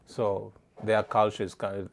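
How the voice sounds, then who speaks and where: A middle-aged man from East Africa answering interview questions in a halting way, restarting phrases as he searches for words. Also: on the low side, flat delivery, vocal fry, a little hiss or hum.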